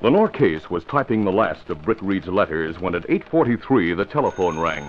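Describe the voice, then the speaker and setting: Animated old-time radio announcer, man. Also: sits low, moderate pace, a little hiss or hum. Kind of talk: scripted 1950s radio announcing. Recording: muffled vintage radio recording.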